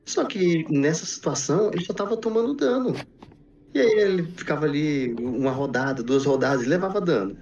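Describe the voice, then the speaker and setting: A man's voice: fairly high pitch, lively intonation, brisk, a little mellow, far from the mic. A man with a Brazilian accent casually telling anecdotes on a podcast, repeating phrases as he goes. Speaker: podcast speaker. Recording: dull lo-fi sound, off-mic.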